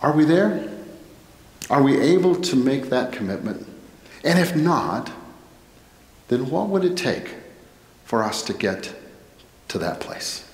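A middle-aged American man delivers a sermon in an earnest, deliberate way, often shaping his thoughts as rhetorical questions. His voice sits at a medium pitch. The sound is echoey, with the long reverb of a church interior around his headset-miked speech. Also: very animated. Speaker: pastor. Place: indoor church, at a pulpit. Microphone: headset microphone.

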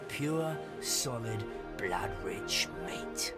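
A gruff sailor, animated. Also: male voice, fairly low pitch, drawn-out words. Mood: brooding, hungry. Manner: rough working-class speech. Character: gruff, greedy, bored 19th-century sailor. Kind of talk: video game cutscene acting. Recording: loud music behind the voice.

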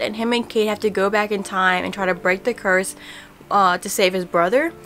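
A young woman in a casual book vlog, talking in a chatty, relaxed way with many fillers, recorded at home by a camera at desk distance. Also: medium pitch. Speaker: book vlogger. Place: home room in front of bookshelves.

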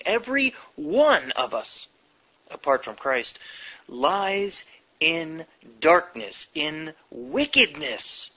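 A man reading aloud like a preaching commentator, emphatic and deliberate, drawing out words between pauses. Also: fairly high pitch, breathy edge, dull audio. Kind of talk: reading a history book aloud with preachy asides.

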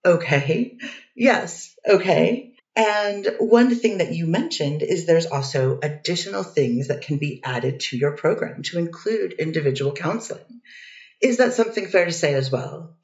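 A woman's voice: on the low side, expressive, a little slow, darkish tone. Woman playing a defense lawyer, methodical questioning, distant roomy sound, dull muffled recording. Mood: steady. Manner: methodical cross-examining questions. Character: poised woman defense lawyer cross-examining. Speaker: defense attorney. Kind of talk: readers theater courtroom reading.

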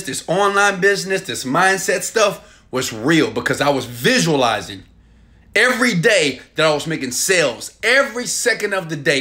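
Hyped man selling from a phone. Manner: hyped, preachy and emphatic, swinging between loud bursts and low asides. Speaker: online marketing coach. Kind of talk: selfie video sales pitch. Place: indoors in a small home room. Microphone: phone held at arm's length.

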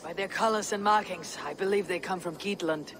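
An actor playing a stern young Viking clan leader in a video game, firm, measured and authoritative. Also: male voice, high-pitched, a bit bright, slight background noise. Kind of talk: dramatic video game acting.

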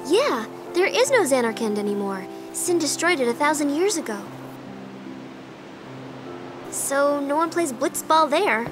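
Perky, high-voiced teenage game girl. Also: very animated, normal speed, music in the background. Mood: gently concerned. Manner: chirpy, casual, reassuring. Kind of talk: video game voice acting.

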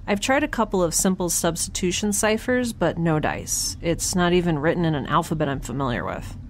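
A woman's voice: lowish voice, expressive, fairly quick, a little hiss or hum, natural voice. A woman playing a quirky young scientist in space, recording a chatty, wry spoken log for an audio drama. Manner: chatty, wry, self-interrupting, informal.

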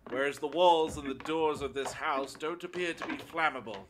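An actor playing a cold, menacing captor in an audio drama, taunting and deliberate in a theatrical way. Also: male voice, on the high side, a little hiss or hum, close to an everyday voice. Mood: gloating. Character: menacing cold male captor interrogating a prisoner. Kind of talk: fan audio drama acting.

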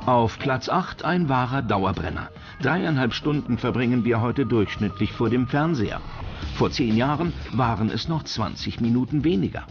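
A man's voice: mid-range voice, animated, normal speed, lo-fi sound, music in the background. A man narrating a documentary from a script.

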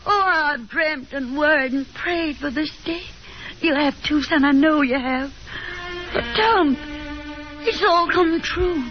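A woman's voice: sits high, slow. An actress playing a gracious, fervently hopeful middle-aged mother with an effusive, theatrical delivery in a 1950s radio drama. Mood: overjoyed, fervent. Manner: effusive, theatrical, emphatic repetition. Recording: dull 1950s radio broadcast, music behind.

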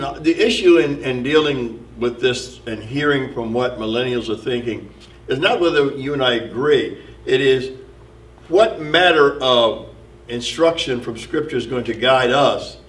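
A man's voice: medium pitch, fairly bright tone, far from the mic. A middle-aged man leading a church Bible study, speaking earnestly to his listeners. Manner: earnest, exhorting. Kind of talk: church teaching. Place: church sanctuary. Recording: echoey hall, off-mic.

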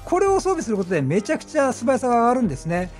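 A man giving chatty, animated gameplay commentary as a gaming streamer, explaining things as he plays and exclaiming at the action. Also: very high voice, very fast, faint music behind. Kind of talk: excited game commentary and explanation.

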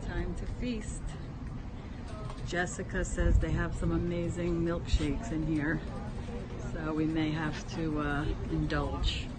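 A woman in her fifties, a grandmother hosting a casual vlog, chatting in a slow, easygoing way into her phone's selfie camera. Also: low-pitched, little pitch movement, heavy background noise. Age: 50s. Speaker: vlog host.

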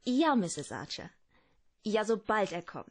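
An actress playing a loyal, dutiful secretary to a detective in a radio drama, matter-of-fact and confiding. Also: female voice, medium pitch, big pitch swings, unhurried. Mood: flustered.

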